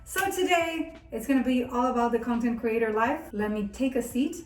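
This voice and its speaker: High-voiced woman vlogging in an echoey room. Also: normal speed, faint music behind. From Argentina. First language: Spanish. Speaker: content creator and video host. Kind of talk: casual chatty vlog. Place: bathroom at home, indoors.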